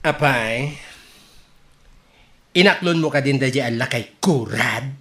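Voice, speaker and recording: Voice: mid-range voice, slow, a bit bright. A performer playing a gossipy, meddling friend in an Ilocano radio comedy drama, with an Ilocano accent, drawling and tossing in English interjections. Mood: nagging, warning.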